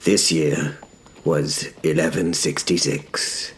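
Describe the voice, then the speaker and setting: A man playing a wry, fearful young medieval knight who narrates his battles in a deadpan, measured way for an audio drama. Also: extremely low pitch, slight background noise, full character voice. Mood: wry, brooding. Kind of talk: first-person scripted dramatic narration.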